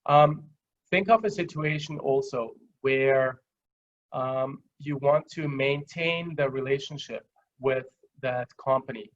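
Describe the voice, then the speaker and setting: A man with an Austrian accent giving a webinar lecture, explaining with simple examples in a halting, conversational way. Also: mid-range voice. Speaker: guest lecturer. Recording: dull, lo-fi online call audio.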